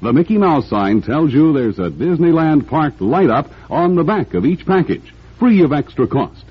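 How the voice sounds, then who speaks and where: Lively, husky 1950s male radio pitchman. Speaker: commercial announcer. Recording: dull old radio recording.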